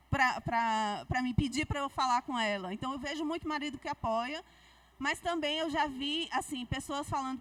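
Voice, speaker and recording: Woman author from Paraíba, Paraíba accent, high bright pitch, frank and animated, picked up dry from a distance. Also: no room sound. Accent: Paraíba Brazilian Portuguese. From Paraíba, Brazil. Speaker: author on a panel. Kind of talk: panel discussion at a book fair. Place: book fair stage.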